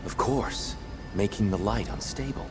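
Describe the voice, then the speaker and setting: An actor playing a gentle, weary, peace-loving god in a video game, speaking in a measured, earnest, formal way. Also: male voice, lowish voice, music in the background, natural voice. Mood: grave, explanatory. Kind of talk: video game dramatic dialogue.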